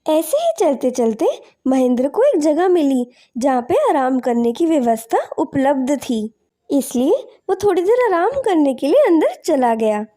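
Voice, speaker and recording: A woman's voice: sits high, sing-song, unhurried, mellow. A woman delivering scripted narration of a moral folk tale, as a storyteller.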